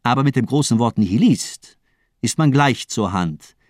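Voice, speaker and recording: An actor as a cold, menacing male doctor in a radio crime play. He speaks at a middle pitch in polished, mocking monologues, elegant and deliberate. The sound is very dry and close. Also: animated, a little mellow, no echo, natural voice. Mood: scornful lecturing. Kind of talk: German radio crime drama acting.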